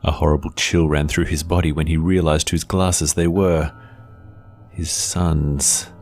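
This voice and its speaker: Male horror narrator, very deep register, deliberate dramatic storytelling, light music bed. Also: flat delivery, a little mellow.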